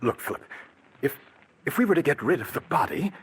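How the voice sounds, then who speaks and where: Smooth, manipulative brother in 1940s radio drama style, slightly husky. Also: male voice, on the high side, big pitch swings, fairly quick, full character voice. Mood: persuasive. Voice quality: persuasive, stammering theatrical delivery. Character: cunning brother, smooth schemer. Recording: old radio broadcast.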